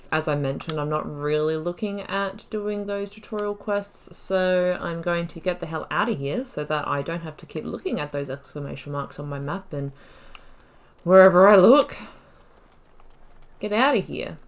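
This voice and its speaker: A woman gives casual running commentary as host of a game video. Her voice sits a little low for a woman, and she is chatty, dropping playful slang and asides as she plays.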